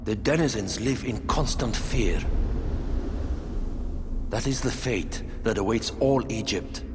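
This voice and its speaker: An actor playing a grave, dignified adviser to a queen, speaking formally and deliberately in a dramatic video game scene. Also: fairly low pitch. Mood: grave, foreboding. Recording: reverberant hall with clear background noise.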